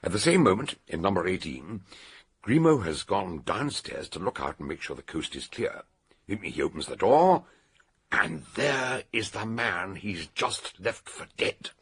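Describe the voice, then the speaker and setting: An actor playing an eccentric older British detective in a radio drama, theatrically and deliberately laying out a murder solution in a gravelly, husky voice. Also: male voice, sits low, sing-song, exaggerated voice. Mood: dramatic, relishing.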